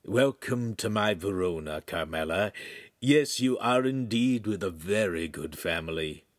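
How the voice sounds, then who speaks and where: A man's voice: lowish voice, animated, unhurried, no echo, studio quality. A man voicing a gracious, dignified male city ruler in an audiobook, with a formal, stately manner.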